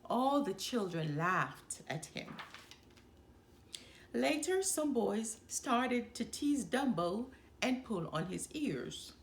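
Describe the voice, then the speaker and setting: A woman reading a children's storybook aloud with deliberate, expressive delivery. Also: on the high side, gravelly, a little hiss or hum.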